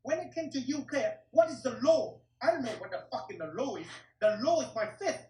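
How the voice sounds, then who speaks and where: A man's voice: very high-pitched, lively intonation, a little fast, background noise. A middle-aged man from Vietnam, a martial arts teacher telling his story in an interview in blunt, broken English and swearing often. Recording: roomy echo, distant mic.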